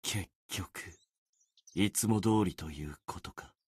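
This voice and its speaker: A man voicing a gloomy, self-deprecating young warrior sword spirit in a video game, brooding and resigned, with a husky voice. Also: no echo, studio quality, full character voice. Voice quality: low, husky. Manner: brooding, resigned, muttering with trailing pauses. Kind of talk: Japanese video game character acting.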